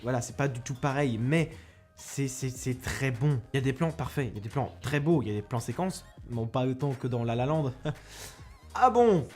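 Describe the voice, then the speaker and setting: Young man, casual film reviewer, mid-pitched, rambling, self-deprecating, close mic, light music underneath. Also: moderate pace, darkish tone. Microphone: close microphone.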